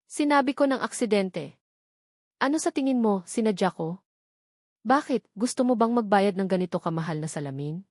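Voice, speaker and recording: A woman's voice: medium pitch, expressive, normal speed, long pauses, dry recording, clean studio sound, close to an everyday voice. A woman voicing an anxious young female janitor, defensive and defiant, in an audio love story. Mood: irritated. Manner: defensive, pleading.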